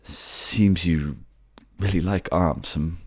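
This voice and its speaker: A man's voice: low voice, drawn-out words, husky, exaggerated voice. A man playing a kind, remorseful young prince in a fantasy audio drama, speaking hesitantly. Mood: somber, halting. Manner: hesitant, stammering.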